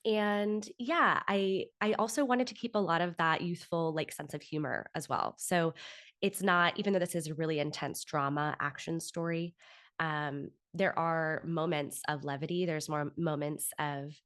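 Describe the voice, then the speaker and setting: A woman in her thirties with a low-pitched voice talks as a guest in an interview. She is articulate and reflective, laying out her thoughts at length in a conversational way. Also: moderate pace, a bit bright. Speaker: interview guest, author and actor.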